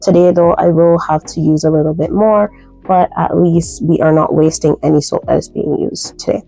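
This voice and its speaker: A woman from Barbados, her Barbadian accent softened, talks through a soap-making tutorial in a relaxed, chatty way, full of ums and asides. Her voice sits low and mellow with a gravelly edge, and faint music plays underneath. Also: moderate pace, poor audio. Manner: relaxed, chatty, many fillers, light laughs. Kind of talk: casual tutorial chat.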